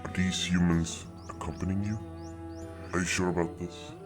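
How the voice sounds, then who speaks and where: A man voicing a courtly, gruff but teasing dragon with formal, stately phrasing in a fantasy audio drama. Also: deep voice, level tone, normal speed, music in the background, close to an everyday voice. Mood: measured, courteous.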